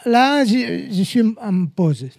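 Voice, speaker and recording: Man, Spanish accent, halting speech, mellow dark voice, dry sound. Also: high-pitched, very animated, dry recording. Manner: hesitant, halting speech with restarts. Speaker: guest painter. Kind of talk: casual chat.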